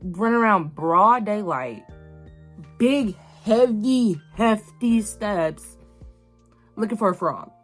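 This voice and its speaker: A young woman hosts a casual commentary recap. Her delivery is animated and slangy, with emphatic repeats and an average-pitched voice. Music plays clearly behind her. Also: takes it slowly. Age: young adult. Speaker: YouTube host reviewing an animated series. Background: background music.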